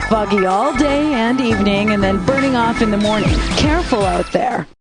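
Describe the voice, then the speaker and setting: A wry, playful female rock DJ played by a woman rattles off scripted station lines. Her voice sits fairly high. Loud rock music plays behind her. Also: normal speed, natural voice. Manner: wry, playful announcer delivery. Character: breezy female rock radio DJ. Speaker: radio DJ. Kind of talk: scripted game radio lines.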